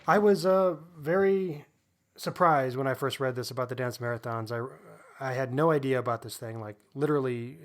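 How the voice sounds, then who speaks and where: Male co-host, average pitch, informal conversational delivery for a book discussion podcast.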